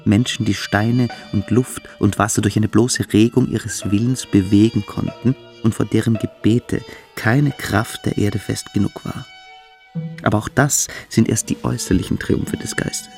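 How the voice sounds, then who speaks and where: A man acting in a German radio play as a cruel, mystical teenage boarding-school boy, lecturing and brooding, sometimes hushed. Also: lowish voice, huge pitch swings, unhurried, gravelly, soft background music, exaggerated voice. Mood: fanatical, intense.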